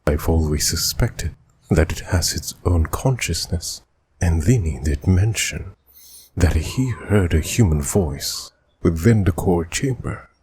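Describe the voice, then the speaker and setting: A man voicing a grave, grieving dragon sovereign and chief judge in a game dub, with formal, measured questioning and a husky, breathy voice. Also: sits low, animated, a little mellow, heavily acted. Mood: grave, probing. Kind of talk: video game dub voice impression.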